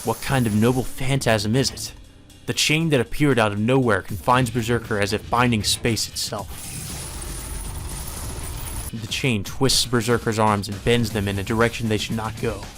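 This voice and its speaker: A man's voice: lowish voice, fairly even intonation, normal speed, fairly bright tone. A man reading a visual novel aloud as solemn, dramatic scripted narration. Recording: music behind the voice.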